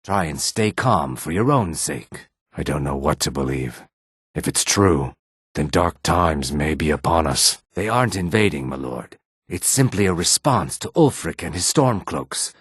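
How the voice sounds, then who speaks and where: A man voicing a gruff, combative male lizard-man townsman in a fantasy video game, with a raspy, throaty voice and a curt, theatrical delivery. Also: very deep voice, animated, measured pace, fairly bright tone, no echo, studio quality, exaggerated voice. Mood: low, measured. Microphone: close studio microphone, dry.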